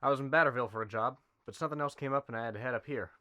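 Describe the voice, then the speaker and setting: A man's voice: medium pitch, very fast, sharp tone, lightly acted. An actor playing an easygoing, laconic man travelling alone on a contract job, casual and understated. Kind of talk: amateur western film acting.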